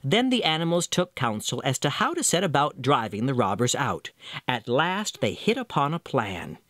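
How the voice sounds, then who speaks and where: A man reading a scripted fairy tale as storyteller, with expressive delivery. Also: medium pitch, normal speed, no room sound, clean studio sound. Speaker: storyteller, narrator.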